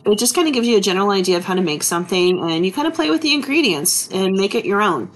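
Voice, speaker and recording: A woman, chatting relaxed and easygoing as she narrates her cooking vlog. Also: mid-range voice, quick pace, a little hiss or hum. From Texas. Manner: chatty, relaxed, full of fillers. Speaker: vlogger. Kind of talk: casual kitchen vlog narration.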